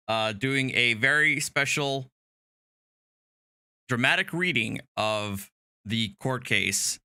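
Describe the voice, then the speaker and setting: A man hosting a casual livestream, talking haltingly and wandering into asides. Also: mid-range voice, bright, forceful tone, smooth, no room sound, studio quality. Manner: halting, hesitant delivery with trailing asides. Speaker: livestream host.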